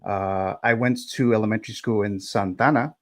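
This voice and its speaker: A man's voice: fairly low pitch, normal speed, dry recording, clean studio sound. A man from Mexico whose first language is Spanish, chatting casually as a co-host with many fillers. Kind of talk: casual livestream chat.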